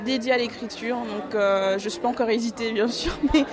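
A woman's voice: on the high side, fairly even intonation, normal speed. Young woman speaking hesitantly over crowd noise. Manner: hesitant, many fillers, laughs often. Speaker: artist photographer, interviewee. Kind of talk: casual radio interview.

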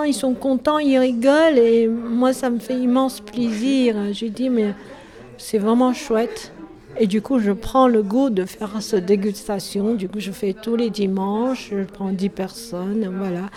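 Slow-speaking Chinese woman, halting French. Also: fairly high pitch, darkish tone. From China. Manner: halting, searching for words, self-correcting. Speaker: tea shop owner. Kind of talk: interview answers.

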